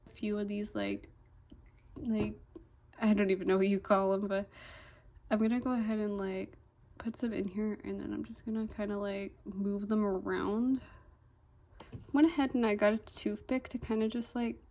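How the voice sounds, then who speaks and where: A woman's voice: medium pitch, steady tone, normal speed, pauses often, a little mellow. A young woman vlogging a toy unboxing in a casual, chatty way, full of "like" and "um", sounding a little congested. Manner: chatty, fillers "like" and "um", easily delighted. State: slightly congested.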